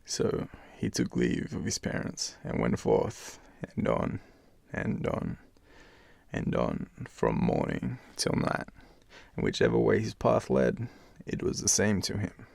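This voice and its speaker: Slow, gravelly Australian male storyteller. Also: on the low side, wildly swooping pitch, a little mellow, dry recording. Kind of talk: bedtime fairytale reading with casual asides.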